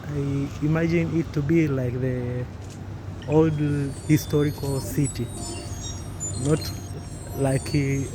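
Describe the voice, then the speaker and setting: A man from northern Tanzania, speaking slowly with pauses. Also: mid-range voice, steady tone, over music.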